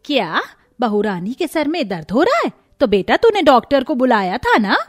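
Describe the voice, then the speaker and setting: A woman's voice: fairly high pitch, very animated, normal speed. A woman voicing a greedy, fawning middle-aged mother-in-law in a cartoon moral story, with a dramatic, fussing delivery. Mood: anxious, fawning.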